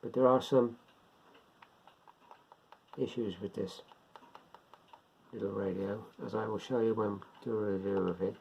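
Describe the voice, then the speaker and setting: An older man giving a casual, unscripted product demonstration, talking haltingly as he thinks aloud. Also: fairly low pitch, steady tone, creaky. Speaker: presenter of a beginners' radio hobby video. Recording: dull lo-fi sound, faint background noise.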